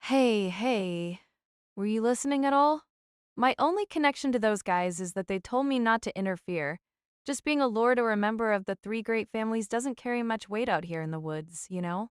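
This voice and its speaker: A woman's voice: a little high, expressive, moderate pace, clear, resonant tone, no echo, clean studio sound, close to an everyday voice. A woman voicing a shrewd old noblewoman mage in an audiobook, with a breezy, teasing and nonchalantly menacing manner. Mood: teasing nonchalance. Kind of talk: audiobook character acting.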